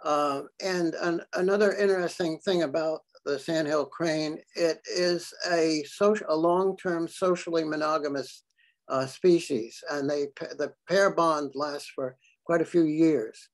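An older man giving a slide talk on birds to a club, halting often with fillers as he explains each photo. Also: fairly high pitch, steady tone. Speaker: birding presenter.

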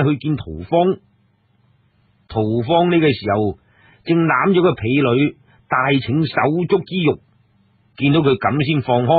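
A man's voice: medium pitch, slightly rough, no room sound. A man narrating a story in Hong Kong Cantonese, with colloquial asides, like a storyteller talking to listeners. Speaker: audiobook storyteller. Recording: dry, narrow phone-like radio sound.

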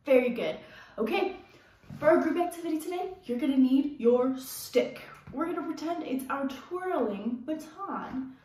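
A young woman instructing a children's movement class, encouraging and animated, talking directly to the kids. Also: high-pitched, moderate pace. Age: young adult. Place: indoors, bare carpeted room at home. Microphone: camera a few steps away. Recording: echoey room, distant mic.

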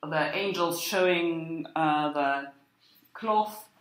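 Female academic lecturer, lowish pitch, hesitant and explanatory, thinking aloud at a very slow pace. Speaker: university lecturer. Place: indoor room with echo. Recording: echoey room.

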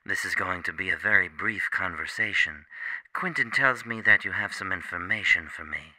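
A man's voice: low-pitched, moderate pace, pushed and bright, dry recording, rough recording. A man playing a cool, menacing criminal professor in a radio drama, curt and coldly polite. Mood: cool menace.

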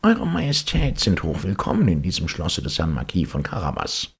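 A man's voice: low-pitched, wildly swooping pitch, normal speed, vocal fry, husky, pitched above the performer's own voice, heavily acted. A man voicing a cunning, bossy talking tomcat in boots, theatrical and wheedling, for a fairy tale. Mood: servile.

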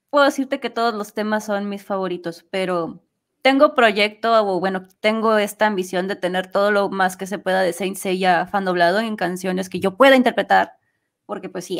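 A woman talking casually as an interview guest about her work as an amateur singer. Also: medium pitch. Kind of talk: casual chat.

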